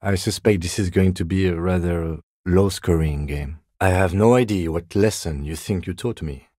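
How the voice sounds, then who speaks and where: Middle-aged police lieutenant, video game dialogue, husky, measured, understated, dry wit, deep voice, close dry sound. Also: male voice, a little mellow, no room sound. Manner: measured, understated, dryly wry.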